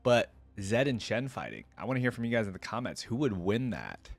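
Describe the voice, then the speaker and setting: A man's voice: fairly low pitch, big pitch swings, a little fast, creaky. A man hosting a reaction video, chatting casually and thinking aloud as he talks to his viewers. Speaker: host of a game voice-line reaction video.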